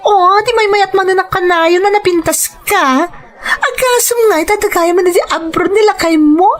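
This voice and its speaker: A woman's voice: very high-pitched, normal speed. A woman playing a flashy, teasing, pushy salon-owner friend who gushes in an audio drama. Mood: coaxing. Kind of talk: Ilocano audio drama acting.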